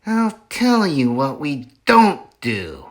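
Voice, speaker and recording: Storybook villain farmer, grown man, cruel and bullying, taunting in a high shout, put on by a male reader. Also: wildly swooping pitch, slow, exaggerated voice. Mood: menacing.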